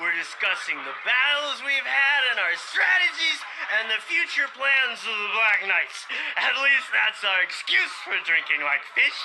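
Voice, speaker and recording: An actor playing a boisterous, tipsy young rebel in an anime audio drama, with a loud, slangy, leering manner. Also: male voice, extremely high pitch, lively intonation, a little slow, few pauses, noisy background, full character voice. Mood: boozy, cajoling.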